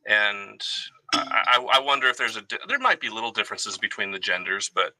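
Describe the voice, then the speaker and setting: A man's voice: fairly low pitch, fairly quick, bright, forceful tone, dry recording, poor audio. A man in his forties talking casually and reflectively as a guest, with a self-deprecating, joking manner. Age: 40s. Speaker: guest, Army veteran.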